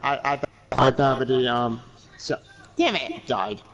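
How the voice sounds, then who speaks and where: A man thinking aloud in a casual call, trailing off and restarting mid-sentence. His voice is mid-pitched and comes through muffled, lo-fi call audio. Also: animated, a little slow, a bit bright. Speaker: podcast co-host. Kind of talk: casual chat about games.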